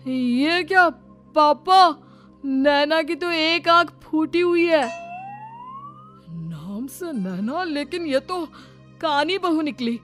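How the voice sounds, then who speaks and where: A woman's voice: high-pitched, fairly bright tone, full character voice. A woman voicing a greedy, indignant man in a cartoon moral story, complaining in an aggrieved, exclamatory way. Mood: shocked, wailing. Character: greedy, whiny, indignant man of a duped family. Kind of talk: animated moral story dialogue.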